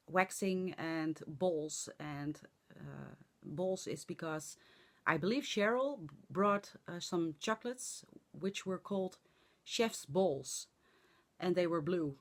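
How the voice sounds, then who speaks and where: Middle-aged Dutch vlogger, hoarse, slow and halting with lots of ums, low-ish voice. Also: female voice. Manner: rambling, halting with many fillers. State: hoarse from laughing and talking. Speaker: flosstube vlogger. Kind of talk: casual chat to camera.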